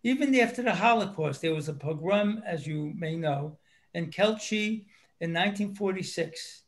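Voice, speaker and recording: A man reading his prepared book review aloud, in a measured, earnest way with small stumbles. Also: high voice.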